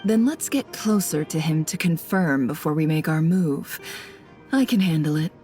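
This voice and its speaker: A woman playing a shrewd, self-assured female investigator in a video game, speaking in measured, polite phrases. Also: low-pitched, warm tone, faint music behind, natural voice. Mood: composed, confident. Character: composed, shrewd female investigator, posing as a lost trader. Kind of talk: scripted video game voice acting.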